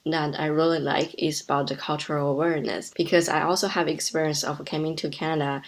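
A woman's voice: low-pitched, little pitch movement, brisk. A woman hosting a university podcast, reading a careful scripted intro and then interviewing a guest. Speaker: podcast host.

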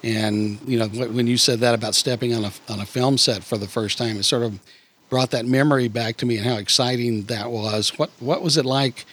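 A middle-aged man hosting a podcast interview, talking conversationally in a rambling, halting way. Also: mid-range voice, steady tone, a bit bright.

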